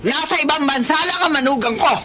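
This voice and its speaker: A woman's voice: quick pace, loud music behind the voice, lightly acted. A woman voicing a cruel, abusive rich mistress of the house who screams insults at her maid. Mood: scolding. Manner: shrill, scolding, insulting. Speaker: voice actor.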